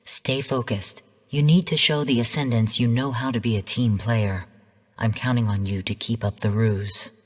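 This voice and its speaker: Give the game a stern female artificial intelligence, heard through long hall reverb. Her voice is low and a little husky. She briefs formally and analytically, in measured, composed phrases. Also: fairly even intonation. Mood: grave. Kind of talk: scripted game narration.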